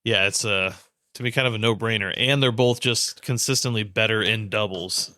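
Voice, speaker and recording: Bright-voiced man in casual sports analysis. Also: fairly low pitch, moderate pace, dry recording, clean studio sound. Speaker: podcast co-host.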